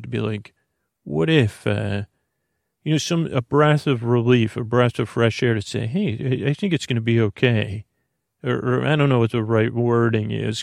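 Man, bedtime podcast host, gravelly fry, medium pitch, halting and repetitive, meandering, reassuring monologue. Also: dry recording, clean studio sound. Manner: meandering, halting, repeats and trails off mid-thought. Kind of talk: rambling bedtime monologue to help listeners sleep.

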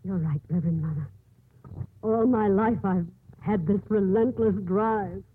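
An actress playing an earnest, stubborn, driven nun, intense and dramatic, in a 1950s radio drama. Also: female voice, mid-range voice, unhurried, breathy edge. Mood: troubled. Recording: thin narrowband old radio recording.

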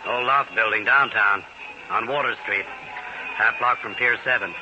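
Low, guarded underworld informant. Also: male voice, normal speed, pushed and bright. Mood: curt. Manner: curt, tough, wary. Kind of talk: old-time radio crime drama acting. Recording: hissy, muffled old radio recording.

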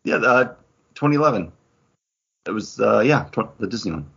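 Husky, lively man chatting on a podcast. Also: lowish voice, moderate pace. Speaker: podcast co-host. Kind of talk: casual chat, banter. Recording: dull lo-fi recording.

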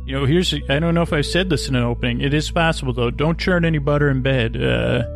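Creaky, meandering man hosting a bedtime podcast. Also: medium pitch, soft background music. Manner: meandering, digressive, halting with self-interruptions; lulling, gentle. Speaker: podcast host. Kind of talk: rambling, soothing bedtime monologue.

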